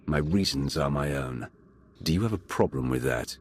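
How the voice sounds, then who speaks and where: An actor playing a cold, menacing Sith agent in a video game, with a terse, commanding delivery and gravelly voice. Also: male voice, very deep voice, lively intonation, normal speed. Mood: cold, accusing. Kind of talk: video game cinematic acting.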